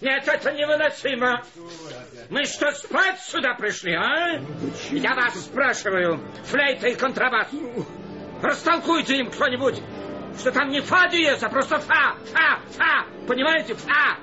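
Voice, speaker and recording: An actor playing an exasperated, sarcastic conductor who scolds emphatically in a radio play. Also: male voice, very high-pitched, expressive, measured pace. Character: exasperated, sarcastic male conductor bullying his orchestra. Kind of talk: theatrical radio play acting. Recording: muffled radio play recording with music.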